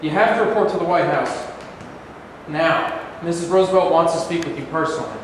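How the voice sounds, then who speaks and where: A man's voice: on the high side, brisk, natural voice. A man in a staged reading playing an urgent, dutiful aide to a president. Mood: urgent, formal. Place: large room at a live staged reading. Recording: echoey hall, far off, noisy.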